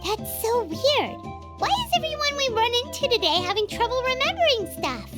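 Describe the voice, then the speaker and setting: A woman voicing a tiny, excitable, childlike fairy companion girl in a video game, with a squeaky voice and exclaiming manner. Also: normal speed. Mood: puzzled. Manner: exclaiming, refers to self in third person. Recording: loud background music.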